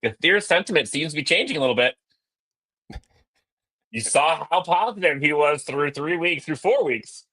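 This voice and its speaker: Slightly hoarse man with a bright voice. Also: on the high side, expressive, low-quality recording. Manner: quick, joking, chatty. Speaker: podcast co-host. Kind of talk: casual sports podcast chat. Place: hotel business center. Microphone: laptop on video call.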